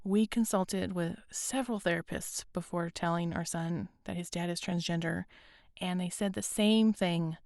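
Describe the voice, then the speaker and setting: A woman hosting a podcast, speaking earnestly and gently with a raspy, hoarse voice. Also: fairly low pitch, steady tone, moderate pace, no echo, studio quality. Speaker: podcast host. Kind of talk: scripted podcast intro and interview.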